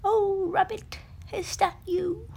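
A woman voicing a gentle, bumbling bear who loves honey, with a soft, plaintive manner. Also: very high-pitched, fairly even intonation, slow pace, a little hiss or hum, pitched above the performer's own voice. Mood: pleading.

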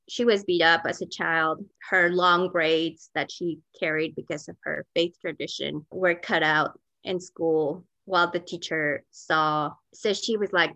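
Bright-voiced woman from Mexico, slightly low. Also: no room sound, low-quality recording. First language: Spanish. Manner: informal, candid. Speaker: pastor, interview guest. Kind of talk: conversational personal storytelling.

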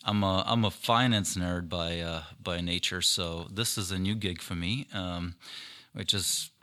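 A man's voice: low voice, fairly even intonation, unhurried, sharp tone. A man with a Ukrainian accent, a guest chatting casually on a podcast and telling easygoing, self-deprecating stories. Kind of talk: casual chat. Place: podcast room.

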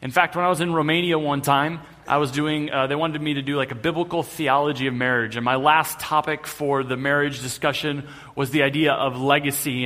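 A man's voice: fairly high pitch, pushed and bright. Conversational male preacher, echoing hall. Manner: conversational preaching, light humor. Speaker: pastor. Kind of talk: sermon. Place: church sanctuary. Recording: long hall reverb.

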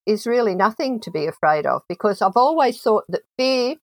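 A woman's voice: mid-range voice, lively intonation, normal speed, dry recording. A woman hosting an interview, telling stories in a reflective, conversational way. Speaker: host.

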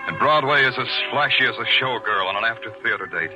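An actor playing a world-weary, poetic police detective, narrating a 1940s noir radio drama in a brooding, lyrical way. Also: male voice, medium pitch, animated, fast, over music, lightly acted, rough recording. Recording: vintage radio recording.

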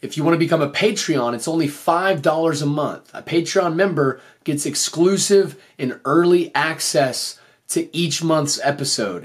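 A man hosting a motivational faith podcast in an earnest, encouraging way, his voice slightly off after recently losing it. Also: fairly high pitch. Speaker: podcast host and teacher.

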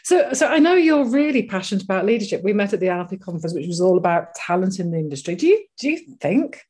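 A woman's voice: medium pitch, sing-song, fast, a little mellow, husky. A woman hosting an interview, asking questions in a friendly way with small fillers. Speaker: interview host. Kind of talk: conversational interview.

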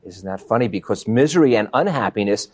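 A man's voice: on the low side, animated, fast talker, darkish tone. A man hosting a conversational book interview, explaining things step by step with emphasis. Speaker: interviewer, show host.

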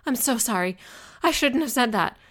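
A woman's voice: a little high, lively intonation, normal speed, a bit bright, pitched above the performer's own voice. A woman voicing a panicked, remorseful young woman in an audiobook, urgent and gasping. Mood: frantic remorse.

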